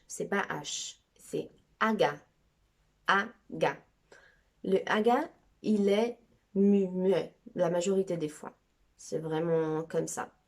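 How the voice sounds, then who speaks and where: Brazilian woman, 20s, Brazilian Portuguese accent, low-ish voice, slow chatty amateur teacher. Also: darkish tone. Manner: chatty, repeats sounds slowly to demonstrate. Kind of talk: casual video lesson.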